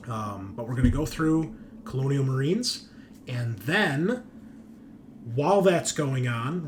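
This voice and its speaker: A male host of a tabletop gaming show speaks in an average-pitched voice over clearly audible background noise. His delivery is loose and conversational, rambling a little and hesitating as he goes through announcements. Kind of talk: casual chat, rambling announcements of upcoming episodes.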